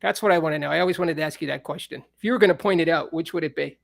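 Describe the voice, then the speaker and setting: A man with a high speaking voice in a casual, playful, teasing chat as he hosts another musician. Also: racing, creaky, no room sound, clean studio sound.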